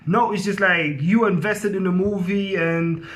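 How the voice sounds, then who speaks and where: A man's voice: high-pitched, fairly even intonation, a little slow, fairly bright tone. A man giving a casual, enthusiastic movie review, speaking informally and repeating phrases for emphasis.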